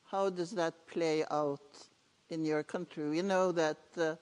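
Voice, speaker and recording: A woman's voice: low voice, steady tone, darkish tone, clean tone. Norwegian woman moderating in a reverberant hall. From Norway. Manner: measured, hesitant questioning with fillers. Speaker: panel moderator. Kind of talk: moderating a conference panel. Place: large conference hall.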